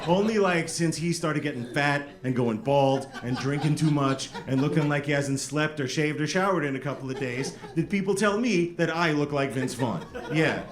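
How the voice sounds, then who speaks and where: A man's voice: a little high, moderate pace, few pauses. Dry, self-mocking man on a club stage. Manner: dry, self-deprecating, chatty with the crowd. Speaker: stand-up comedian. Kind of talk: stand-up comedy set. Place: comedy club stage with a laughing audience. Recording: roomy club sound with loud background.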